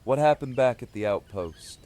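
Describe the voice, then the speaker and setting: An actor playing a principled male leader of survivors in an audio drama, with a firm, deliberate delivery. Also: medium pitch, lively intonation, mellow, lightly acted. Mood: matter-of-fact.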